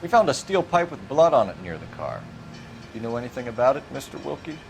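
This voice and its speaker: An actor playing a brisk, formal 1940s police detective in a game, businesslike and polite as he questions people. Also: medium pitch, big pitch swings, vocal fry, darkish tone, faint music behind. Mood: probing, polite.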